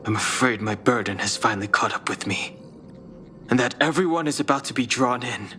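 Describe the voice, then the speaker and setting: A man playing a troubled, guarded young man in a video game, speaking earnestly and haltingly. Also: mid-range voice, animated, bright, forceful tone. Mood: anxious, burdened. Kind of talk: dramatic video game voice acting. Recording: music playing behind the voice.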